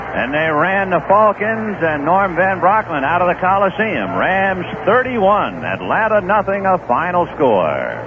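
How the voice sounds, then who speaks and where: A man doing live play-by-play for a baseball radio broadcast, telling relaxed anecdotes between pitches, on a tinny old radio recording. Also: unhurried, few pauses. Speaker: play-by-play announcer. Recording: tinny lo-fi 1970s radio broadcast with faint hiss.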